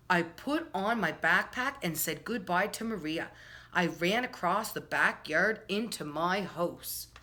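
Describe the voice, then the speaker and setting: A woman's voice: lowish voice, a bit bright. A woman hosting a children's storytime, reading a picture book aloud expressively and speaking directly to young listeners. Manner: slow, addresses children directly, storyteller phrasing. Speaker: children's storytime host and reader.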